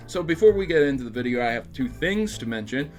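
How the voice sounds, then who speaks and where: A man's voice: mid-range voice, animated, fast, a little mellow, faint music behind. A man hosting a video game news show, giving casual, emphatic commentary with rambling asides and addressing his audience directly. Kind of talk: casual scripted-topic news commentary to camera.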